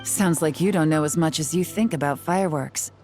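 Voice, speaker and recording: A woman playing a composed, knowing character in a video game, speaking in a measured, explanatory way. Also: low voice, a little mellow, faint music behind, lightly acted. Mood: calm, instructive.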